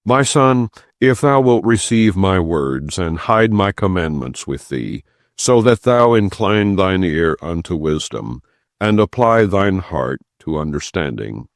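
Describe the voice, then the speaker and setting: A man reading scripture as an audiobook narrator, in a solemn, measured and deliberate way with emphatic phrasing. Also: low voice, sing-song, dry recording, studio quality.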